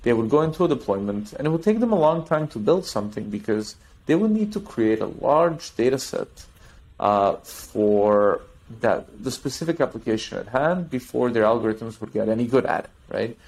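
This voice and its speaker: A man talking as a guest on a podcast interview, explaining technology in an animated way with restarts and trailing thoughts. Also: medium pitch, moderate pace, rough recording. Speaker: tech company guest.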